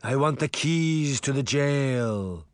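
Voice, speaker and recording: A man's voice: mid-range voice, expressive, no echo. Man putting on a moaning, menacing phantom horseman. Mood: threatening. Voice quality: moaning, croaking, drawn out. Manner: menacing, slow, bellowing. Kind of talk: audiobook character voice.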